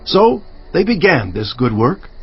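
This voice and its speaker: A man's voice: on the high side, sing-song, measured pace, husky, heavily acted. Solemn, devout man, sweeping intonation. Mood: solemn narrating. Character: Nehemiah, devout, earnest male cupbearer narrating his memoir. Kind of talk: dramatized scripture narration. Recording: slightly dull audio with music behind. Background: music.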